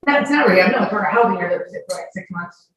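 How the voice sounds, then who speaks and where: A woman's voice: medium pitch, lively intonation, racing, fairly bright tone. A woman testifying as a witness in a courtroom in an informal, rambling, colloquial way. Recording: echoey courtroom, distant mic, faint noise.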